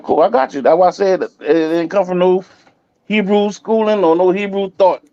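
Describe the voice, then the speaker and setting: A man's voice: high-pitched, normal speed, mellow, dry recording, dull audio. A man in a casual, argumentative discussion, speaking colloquially and insistently and repeating himself.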